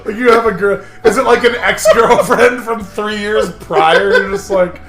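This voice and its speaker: A man's voice: sits high, fairly even intonation, unhurried, a bit bright. A man in casual, joking commentary banter who acts out bits of mock dialogue. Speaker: gaming commentary co-host. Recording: roomy echo, faint music. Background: faint music.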